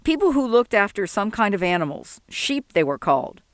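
A woman's voice: medium pitch, sing-song, moderate pace, no room sound, clean studio sound, lightly acted. A woman voicing a stubborn old wandering man who talks in a plodding, insistent way.

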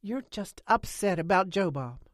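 A woman's voice: mid-range voice, lively intonation, a little slow, dry recording, lightly acted. A woman narrator voices a middle-aged rural woman in an audiobook. She is a caring friend, plain-spoken and direct as she soothes. The sound is close and dry. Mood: concerned. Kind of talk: audiobook character acting.

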